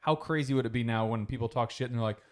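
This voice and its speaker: A man's voice: medium pitch, fast talker. A man co-hosting a casual podcast chat, telling a long story in a rambling way, swearing freely. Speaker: podcast co-host.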